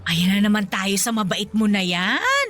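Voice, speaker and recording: An actress playing a sassy, protective young best friend in a radio romance drama, with an exaggerated, teasing and scolding manner. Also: female voice, mid-range voice, wildly swooping pitch, moderate pace, a bit bright, soft background music.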